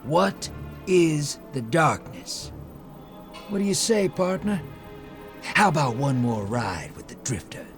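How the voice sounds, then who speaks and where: Sly folksy game rogue, high-pitched for a man, swinging intonation, drawling, wheedling, faint music behind. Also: very animated, slow pace, long pauses, exaggerated voice. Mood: persuasive. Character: sly, folksy rogue gambler and scavenger, male. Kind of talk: video game character acting.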